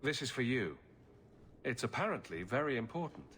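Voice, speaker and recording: An actor playing a helpful, dryly wry adventurer hero in a fantasy video game, talking casually. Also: male voice, mid-range voice, big pitch swings, normal speed, a bit bright, slight background noise. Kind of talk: scripted game cutscene acting.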